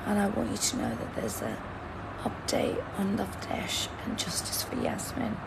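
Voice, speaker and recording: A woman's voice: medium pitch, big pitch swings, moderate pace, gravelly. A woman in her thirties who has lost her voice and hasn't slept, chatting casually with livestream viewers from her bed into a phone held at arm's length. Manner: lying in bed, talking to a phone camera, reading out chat. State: hoarse, lost voice; sleep-deprived. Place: bedroom, indoors, in bed. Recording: fan noise in the background.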